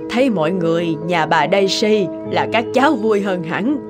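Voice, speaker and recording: A woman voicing a courteous, kindly and composed lady in a cartoon, with a polite, gentle manner. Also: mid-range voice, animated, unhurried, close to an everyday voice. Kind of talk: cartoon voice acting. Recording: music behind the voice.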